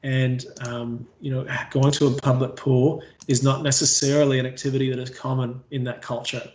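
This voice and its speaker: A man's voice: medium pitch, little pitch movement, moderate pace, darkish tone, reverberant, low-quality recording. A man from Canada with a Canadian accent, presenting a staff training webinar on water safety, with frequent ums, uhs and restarts. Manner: hesitant, many fillers and restarts.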